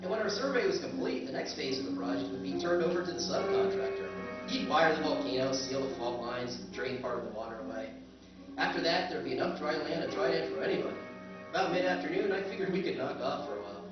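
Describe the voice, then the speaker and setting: A man's voice: fairly high pitch, animated, fast talker, natural voice. A man narrating and playing a wry male surveyor, telling the story with dry humor in a live radio drama recreation. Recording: echoey hall, far mic, muffled, music.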